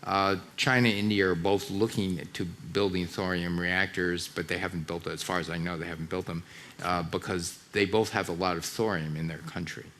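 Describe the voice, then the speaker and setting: A man from the United States on a conference panel, soft-spoken, with stammering restarts. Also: deep voice, steady tone, normal speed, a little hiss or hum. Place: conference hall.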